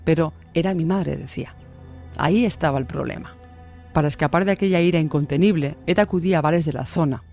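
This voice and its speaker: A man's voice: a little high, fairly quick, creaky, a little mellow. A man with a Castilian Spanish accent reading a scripted true-crime radio narration. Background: music bed.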